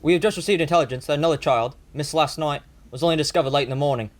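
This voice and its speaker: Man acting rapid-fire, urgent newsman. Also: fairly high pitch, natural voice. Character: urgent male newsman reporting attacks on children. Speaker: actor in a school radio drama. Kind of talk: old-fashioned news bulletin acting.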